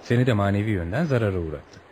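An actor playing a courteous, reflective gentleman visitor, gently probing and philosophical, in radio theatre. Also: on the low side, lively intonation, rapid-fire, a little hiss or hum, natural voice.

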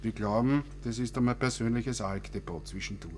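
A man's voice: on the low side, brisk, slight background noise, natural voice. An actor playing a breezy, shady man with an Austrian accent, colloquial and bossy, in a naturalistic radio drama. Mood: smug, breezy. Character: breezy, shady Austrian man, Mike, showing off his scheme.

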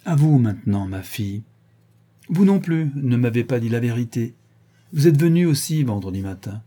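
A man voicing a stern, sharp-witted middle-aged woman who questions two servants and accuses one of them. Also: medium pitch, big pitch swings, moderate pace, husky. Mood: probing. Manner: cold, probing, relentlessly accusing.

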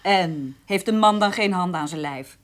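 An actress playing a brisk, practical, outspoken home help in a radio play, matter-of-fact in manner. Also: female voice, fairly low pitch, natural voice. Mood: firm, concerned.

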